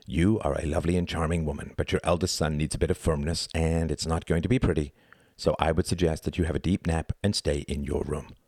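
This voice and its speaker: A man voicing a stern, grimly controlled adult man who talks in a firm, measured, authoritative way in a story. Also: rumbling low, steady tone, darkish tone, no room sound, clean studio sound. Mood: low, even.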